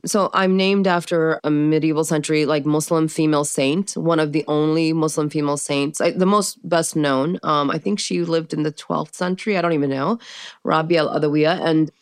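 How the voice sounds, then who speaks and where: A woman born in Pakistan and raised in the United States, telling stories in a casual interview, chatty and animated with lots of fillers. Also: low voice, moderate pace, clean tone, dry recording, clean studio sound. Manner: animated storyteller with frequent fillers and laughs. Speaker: interview guest.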